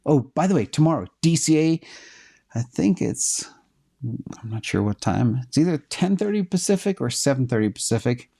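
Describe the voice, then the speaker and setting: Hoarse middle-aged guy on a stream, low mellow voice, lots of ums, thinking out loud. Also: animated, normal speed. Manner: thinks aloud with fillers, checking data live. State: losing his voice. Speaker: host answering viewer questions. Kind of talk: casual livestream talk.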